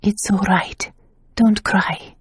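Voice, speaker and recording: A woman voicing a kind young woman who speaks in a soft, soothing whisper. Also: medium pitch, little pitch movement, slow pace, husky.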